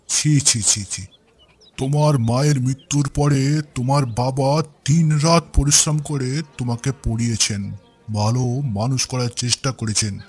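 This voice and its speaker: A man playing a paternal, righteous village landlord in a cartoon, expressive and admonishing. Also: medium pitch, slightly rough. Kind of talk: cartoon voice acting.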